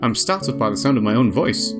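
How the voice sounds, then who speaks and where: A man voicing a newly awakened, curious female android in a visual novel, measured and introspective. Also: mid-range voice, lively intonation, natural voice. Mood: curious, observant. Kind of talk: visual novel character narration. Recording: music behind the voice.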